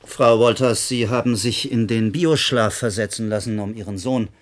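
A man playing a formal, guarded professor in charge of a space project, courteous and measured, in a radio drama. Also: fairly low pitch, fairly even intonation, slight background noise, close to an everyday voice. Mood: smoothly evasive.

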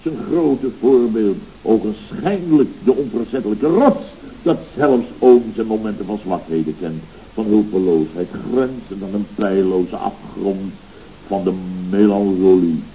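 A man's voice: fairly low pitch, measured pace, mellow, a little hiss or hum, close to an everyday voice. Man playing a pompous, hot-tempered boss, muffled old recording. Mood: melodramatic self-pity. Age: middle-aged. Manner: bombastic, melodramatic, flowery speech. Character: pompous, hot-tempered contractor boss, sentimental middle-aged uncle. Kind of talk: Dutch radio comedy serial acting from around 1970.

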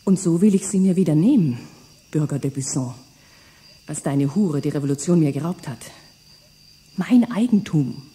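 A dark-voiced, whispering lost lover. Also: female voice, sits low, lively intonation, moderate pace, pauses often, heavily acted. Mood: bitter. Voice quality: intimate, breathy whispering. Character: bitter seductive woman reclaiming her lost lover.

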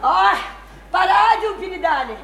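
An actress playing a brash, boozy, chattering widowed house painter, flirty and slangy, in a radio play. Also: female voice, extremely high pitch, expressive, unhurried, creaky, roomy sound, background noise, heavily acted. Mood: chatty, excited. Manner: flirty, teasing, folksy and slangy. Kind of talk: theatrical radio play acting.

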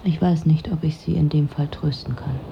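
A woman's voice: low voice, steady tone, moderate pace, gravelly, lo-fi sound, a little hiss or hum. An actress playing a weary, detached, lonely woman who narrates her own story in a dry, introspective way for a radio drama. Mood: uneasy, resigned. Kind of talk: literary first-person radio drama narration.

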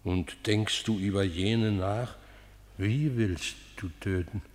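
Wary elder, low voice, slow, long reverb. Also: male voice, steady tone. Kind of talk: radio play acting.

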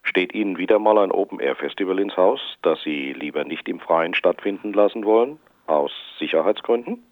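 A man's voice: low voice, expressive, fairly quick, no room sound, narrow, tinny audio. An actor playing an unruffled male weather forecaster with dry wit in a German radio drama. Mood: dryly teasing.

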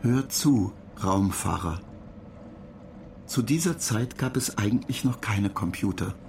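Low-voiced, insistent machine lecturer. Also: male voice, lively intonation, slow pace, creaky, darkish tone, a little hiss or hum, heavily acted. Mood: instructive. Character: talking data-agent machine, dutiful, insistent lecturer. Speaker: narrator. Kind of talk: German radio play lecture and dialogue.

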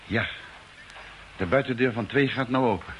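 A man acting a gruff, laconic spaceship crewman with a terse, dry manner in an old radio play. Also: medium pitch, normal speed, a bit bright, natural voice, poor audio. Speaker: radio drama actor. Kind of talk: mid-century Dutch radio play acting. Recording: old radio recording, faint hiss.

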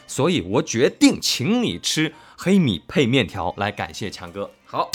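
A man co-hosting a casual livestream in a halting, conversational way, repeating phrases as he goes. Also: medium pitch, huge pitch swings, breathy edge, faint music behind. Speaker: voice actor co-hosting a livestream about an audio drama. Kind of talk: casual live chat.

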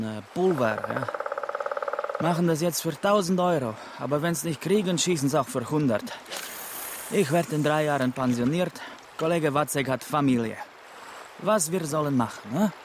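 An actor playing a laconic Czech village police chief nearing retirement, speaking halting, broken German with a Czech accent and searching for words. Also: male voice, on the high side, slight background noise, lightly acted. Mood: resigned, matter-of-fact. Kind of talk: naturalistic radio drama acting.

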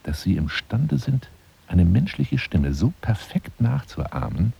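An actor playing a self-dramatizing, obsessive big-game hunter monologuing on the telephone in a radio play, theatrical and often dropping into breathy whispers. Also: male voice, on the low side, sing-song, slow pace, full character voice. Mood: lecturing, musing. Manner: theatrical, swinging from hushed murmurs to agitated outbursts.

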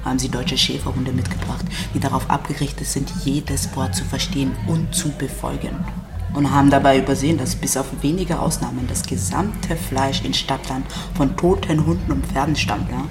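An actress as a dry storyteller of a seedy city, reading literary narration in a radio drama. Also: female voice, a bass voice, fairly even intonation, moderate pace, creaky. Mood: dry, storytelling. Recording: music under the voice.

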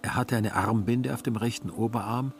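A male narrator reads scripted prose for a radio play. His pitch is in the middle range. Faint music plays behind him. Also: fairly even intonation, moderate pace.

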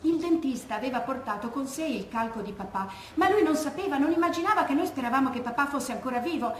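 An actress playing an educated, composed woman giving measured testimony about grief for her father in a theatre monologue. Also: sits high, expressive. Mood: anguished. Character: educated daughter recalling her father's wartime death, composed, grieving. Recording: echoey room with background noise.

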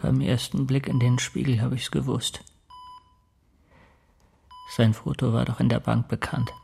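An actor playing an unsettled patient in a radio crime drama, confiding haltingly in a gravelly voice. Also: male voice, mid-range voice, flat delivery, darkish tone, faint music behind. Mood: uneasy, confiding. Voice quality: gravelly, creaky. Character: unsettled, hesitant male transplant patient with a stranger's face.

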